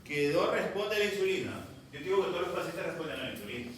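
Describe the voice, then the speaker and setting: A man's voice: fairly high pitch, big pitch swings, quick pace, fairly bright tone, slight background noise. A man giving a medical lecture to students, stern and scolding at times. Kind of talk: classroom lecture. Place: classroom. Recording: echoey classroom from far off.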